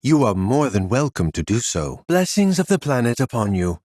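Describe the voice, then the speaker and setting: An actor playing a courteous, devout disciple in a video game, welcoming visitors in a formal, measured way. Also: male voice, medium pitch, very animated, darkish tone, no room sound, studio quality. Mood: reverent, courteous.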